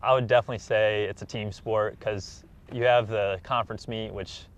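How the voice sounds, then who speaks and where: A man's voice: lowish voice, fairly even intonation, moderate pace, dull audio. A young American man, a college athlete, answering interview questions in a casual, plain-spoken, earnest way. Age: young adult.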